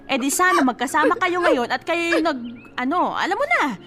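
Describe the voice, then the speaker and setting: A woman's voice: sits high, lively intonation, normal speed, very noisy. A woman acting a blunt, exasperated young female cousin who scolds and talks in a sassy, colloquial way in a Tagalog radio drama. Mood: exasperated, scolding.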